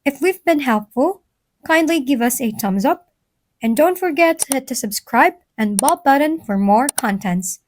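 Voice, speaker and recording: A woman's voice: high voice, lively intonation, normal speed, dry recording. A woman hosting a tech tutorial, reading scripted narration.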